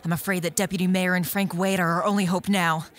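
A woman's voice: on the low side, flat delivery, fast, lightly acted. A woman playing an earnest, deferential, dutiful assistant to a mayor in a comedy radio play.

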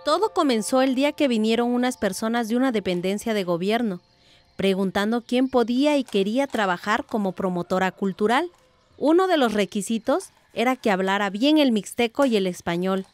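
A woman's voice: a little high, lively intonation, normal speed, no room sound, natural voice. A woman playing a thoughtful, determined middle-aged village aunt in a radio drama, speaking earnestly and reflectively.

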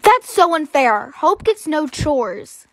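A woman as a high-voiced, put-upon teenage heroine. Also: sing-song, takes it slowly, no room sound, full character voice, rough recording. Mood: aggrieved. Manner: earnest, complaining.

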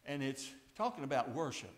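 A man in his sixties preaching a fiery sermon at a church pulpit, swinging from hushed tones to shouts. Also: medium pitch, sing-song, fairly quick. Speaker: pastor. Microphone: lapel mic, far camera. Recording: echoey church sanctuary.

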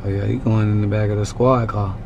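Hoarse man vlogging to his phone. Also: on the low side, fairly even intonation, brisk, muffled recording, background noise. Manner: loose, slangy, repeats "you feel me". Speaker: family vlogger. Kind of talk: casual vlog talk to camera. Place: apartment hallway indoors, then parked car. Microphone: handheld phone camera at arm's length.

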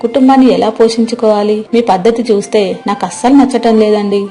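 A woman's voice: fairly high pitch, fairly even intonation, fast, mellow, soft background music, natural voice. A woman voicing a kind-hearted, exasperated village wife in a story, complaining reproachfully. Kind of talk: scripted moral story dramatization.

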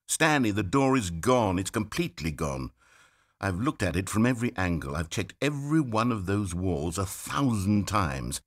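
Fussy game narrator guy, anxious and pleading, voice swoops all over the place, clean studio sound. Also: medium pitch. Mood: frantic. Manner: pleading, rambling, swinging from fretful to hushed. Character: fussy male narrator, anxious, increasingly desperate. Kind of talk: scripted video game narration. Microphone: studio mic.

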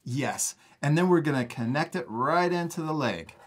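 A man's voice: fairly high pitch, lively intonation, moderate pace. A man hosting a step-by-step drawing lesson for children in a casual, encouraging manner. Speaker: art teacher and host.

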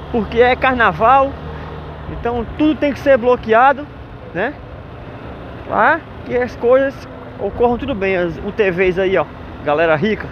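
A man from northeastern Brazil, chatting upbeat and jokingly as he vlogs from a motorcycle on city streets. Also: sits high. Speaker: motovlogger. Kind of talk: casual vlog narration while riding. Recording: dull lo-fi with faint street noise.

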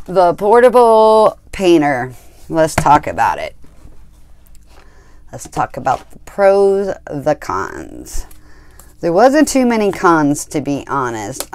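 A woman's voice: low-pitched, a little mellow, slight background noise. A woman hosting an art channel, casually reviewing her hiking painting supplies in a rambling way, correcting herself often with many ums. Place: indoor craft table.

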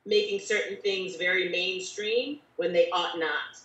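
A woman's voice: fairly low pitch, a little slow, a bit bright. A woman in her thirties making earnest, formally phrased points in a panel discussion over a video call. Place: bedroom at home. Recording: dull, roomy, distant video-call sound.

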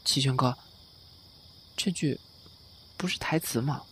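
A man's voice: mid-range voice, slow pace, a little hiss or hum, lightly acted. A man playing a shy young junior singer in an audio drama, hesitant and deferential. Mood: startled. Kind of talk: Chinese audio drama acting, soft dialogue.

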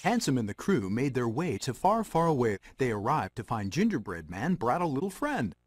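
A man's voice: medium pitch, sing-song, moderate pace. A man voicing a wry, playful magic mirror who narrates a storybook in a video game.

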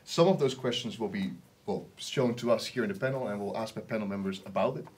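A man's voice: on the low side, quick pace, slight background noise. Young man moderating a panel. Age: young adult. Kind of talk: webinar hosting. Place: indoor panel studio.

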